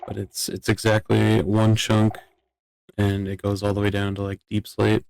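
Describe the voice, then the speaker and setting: A man's voice: fairly low pitch, monotone, normal speed, creaky, a little mellow, a little hiss or hum, low-quality recording. A man chatting casually as a player in an online game roleplay. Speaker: roleplay gamer.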